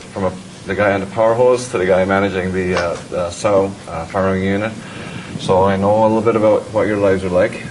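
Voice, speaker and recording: A man with an Irish accent talks to a conference audience in a relaxed, self-deprecating way. His voice sits fairly low. Clear background noise hums behind him. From Ireland. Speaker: economics professor and presenter. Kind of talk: conference presentation. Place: conference hall at a trade expo.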